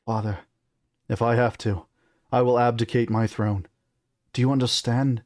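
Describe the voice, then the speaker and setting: A man's voice: medium pitch, steady tone, normal speed, a little mellow, no room sound, clean studio sound, close to an everyday voice. Storybook prince played by a male reader: young, honorable, defiant, speaking firmly and earnestly. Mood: resolute, defiant. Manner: firm, earnest.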